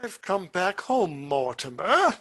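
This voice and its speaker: An actor playing a sinister, commanding criminal brother in a radio play, with a threatening manner. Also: male voice, high voice, sing-song, slow pace, fairly bright tone, slightly rough, exaggerated voice. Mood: coolly menacing.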